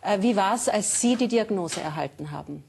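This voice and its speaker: A woman's voice: mid-range voice, animated, normal speed, vocal fry, a little mellow, a little hiss or hum. A woman hosting a daytime TV talk show, asking polite questions with some hesitant fillers. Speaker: TV host. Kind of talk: TV interview. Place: TV studio.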